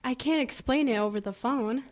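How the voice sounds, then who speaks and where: A woman's voice: high-pitched, moderate pace, natural voice. A woman playing a secretive, vengeful teenage schoolgirl in an audio horror drama, with an evasive, hesitant manner. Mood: hesitant, placating.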